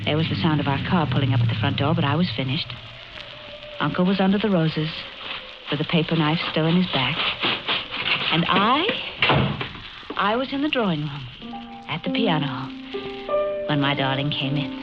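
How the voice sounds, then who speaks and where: An actress playing a genteel, coolly murderous wife in a 1940s radio horror play, speaking with measured, wistful deliberation on a muffled old recording with music behind. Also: female voice, low voice, lively intonation. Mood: eerily calm. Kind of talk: 1940s radio acting, first-person horror narration.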